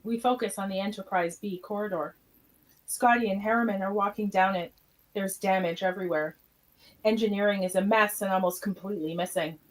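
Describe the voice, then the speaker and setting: Female narrator, scripted scene descriptions for amateur radio theatre, average pitch. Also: steady tone, brisk, low-quality recording. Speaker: narrator of podcast radio play.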